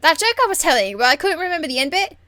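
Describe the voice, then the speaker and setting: A woman's voice: high voice, big pitch swings, very fast, a bit bright, dry recording, pitched above the performer's own voice. A woman voicing a cheeky, crude young elf thief in a video game, with a blunt, teasing, informal manner. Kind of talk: video game character acting.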